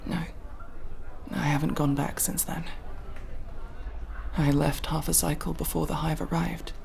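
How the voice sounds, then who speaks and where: A woman playing a gentle, homesick alien in an ASMR sci-fi roleplay, speaking softly and hesitantly, often near a whisper. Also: sits low, little pitch movement, unhurried, vocal fry, a little hiss or hum, exaggerated voice. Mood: wistful, homesick. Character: homesick female alien, gentle last survivor of her world.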